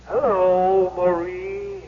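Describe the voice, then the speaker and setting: An actor voicing a fake ghost of a dead husband, slow and solemn, in a vintage radio drama. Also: male voice, sits high, completely flat delivery. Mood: solemn, ghostly. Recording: muffled reverberant old radio recording.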